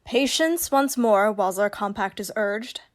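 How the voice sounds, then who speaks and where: A woman acting a commanding heroine disguised as a young shepherd in a Shakespearean radio play, speaking in measured, emphatic declamation. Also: mid-range voice, expressive, natural voice. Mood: commanding, assured.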